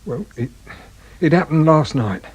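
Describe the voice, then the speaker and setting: An actor playing a muddled, frightened suspect in a radio drama, halting and pleading, with an English accent and a husky, rough voice. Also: male voice, on the high side, lively intonation, muffled recording. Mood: anxious confessing. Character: frightened, muddled male petty suspect confessing to a killing.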